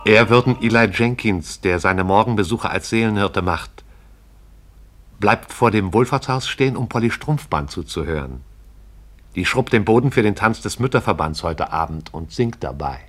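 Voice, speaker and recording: A man narrating a radio play in a measured, poetic way. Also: on the low side, lively intonation, a bit bright.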